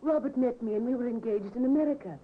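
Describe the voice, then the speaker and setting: Actress as earnest young wife, highish, tinny radio. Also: female voice, quick pace, lightly acted. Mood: soothing. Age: 20s. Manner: earnest, theatrical. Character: devoted-seeming young wife with a secret lover, emotional. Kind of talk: 1950s radio drama acting. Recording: tinny, hissy old radio recording.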